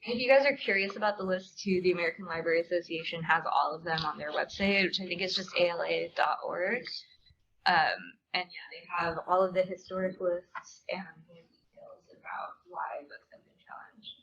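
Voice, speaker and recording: A woman's voice: on the low side, a little fast, fairly bright tone. A woman answering audience questions casually as a theater performer on a panel, chatty and informal, often saying "like". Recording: dull room recording with clear background noise.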